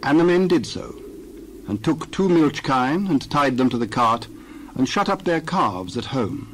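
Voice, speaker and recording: A man giving a dramatized Bible reading in a steady, formal way. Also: mid-range voice, unhurried, slight background noise. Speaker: Bible reader.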